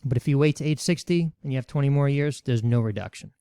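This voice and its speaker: A man's voice: mid-range voice, fast talker, mellow, clean tone, no room sound. A man explaining retirement benefits rules as a webinar presenter, walking through them step by step with worked examples. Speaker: financial educator presenting a webinar.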